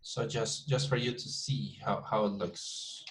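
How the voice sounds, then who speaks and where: A man's voice: fairly low pitch, fairly even intonation, unhurried, fairly bright tone, slight background noise. A man from Colombia with a Colombian accent, a Spanish speaker teaching an English class over a video call. He often repeats words as he talks and calls the students "guys". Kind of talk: online class lecture. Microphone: computer mic on a video call.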